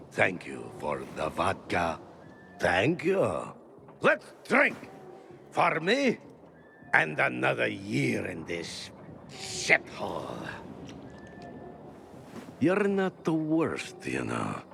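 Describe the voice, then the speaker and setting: An actor playing a gruff, drunken, blunt man who is grudgingly friendly, in a video game. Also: medium pitch, huge pitch swings, very slow, pauses often, fairly bright tone, a little hiss or hum, heavily acted. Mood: boisterous, tipsy. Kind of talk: video game character acting.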